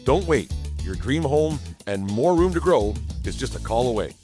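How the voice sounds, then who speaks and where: A man's voice: medium pitch, sing-song, moderate pace, warm tone, soft background music. A man presenting as the host of a sports show.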